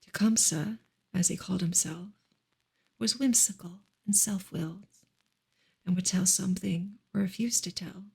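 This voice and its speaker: A woman reading a novel aloud in a soft, hushed, breathy ASMR style, often slipping into a whisper. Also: mid-range voice. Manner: hushed, gentle delivery.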